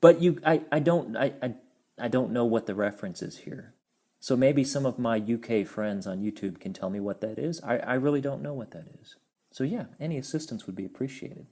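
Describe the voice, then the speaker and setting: A man's voice: mid-range voice, fairly quick, warm tone, husky. A man giving a casual, explanatory toy review, friendly in tone and sometimes stumbling over his words.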